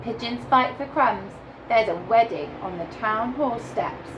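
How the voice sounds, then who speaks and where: A woman teacher reading a picture book aloud to young children in a deliberate, animated storytelling way. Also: a little high, background noise. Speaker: primary school teacher reading to her class.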